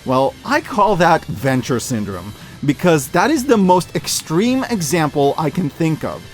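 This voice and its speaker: A man with a fairly high voice narrates a scripted media review. His intonation is very lively, rising and falling widely as he makes emphatic, hyperbolic points in informal language. Music plays behind him. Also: a little slow. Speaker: video essayist reviewing media.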